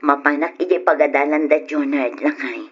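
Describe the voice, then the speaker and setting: A woman playing an anxious, tender middle-aged mother of a sickly son in a radio drama, with a husky voice and an Ilocano accent. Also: deep voice, moderate pace. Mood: patient, dutiful. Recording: muffled old radio recording.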